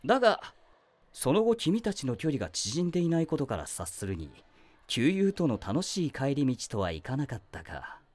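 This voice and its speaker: A man playing a meddling male teacher in a voice drama, who speaks in a wry, gently probing, lecturing way. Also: mid-range voice, very animated, normal speed, no echo. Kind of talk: voice drama acting.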